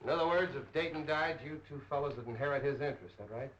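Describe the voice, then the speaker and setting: An actor playing a brusque, impatient, sarcastic police detective in a 1930s film. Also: male voice, fairly high pitch, fast, a bit bright, a little hiss or hum, lightly acted. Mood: accusing. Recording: dull 1930s film soundtrack.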